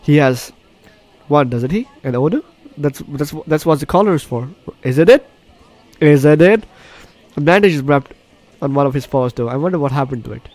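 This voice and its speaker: A man's voice: a little high, expressive, normal speed, darkish tone. A man hosting a game playthrough, reading the story aloud with reactions, often stumbling and correcting his words. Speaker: gaming video host. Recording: game music behind the voice. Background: game music.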